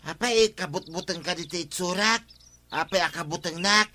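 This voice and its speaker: A man's voice: high voice, normal speed, background noise, close to an everyday voice. A man playing a grumbling village woodseller in a comedy radio drama, exclaiming and complaining with an Ilocano accent. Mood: puzzled, wary. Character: grumbling working village man who sells firewood.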